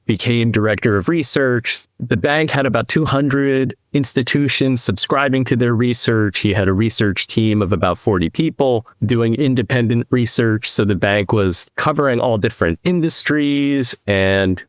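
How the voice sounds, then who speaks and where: A man in his fifties from the US East Coast, a podcast guest and financial advisor, telling his story in a measured, reflective way. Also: mid-range voice, no room sound, clean studio sound. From New Jersey, US East Coast. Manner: measured, reflective, speaks in long explanatory runs. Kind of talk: conversational interview storytelling.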